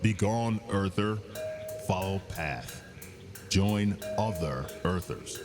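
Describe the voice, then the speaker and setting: An actor playing a gruff, proud, hostile male alien who speaks in terse broken English in a sci-fi motion comic. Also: low voice, expressive, slow. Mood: gruff, commanding. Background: music.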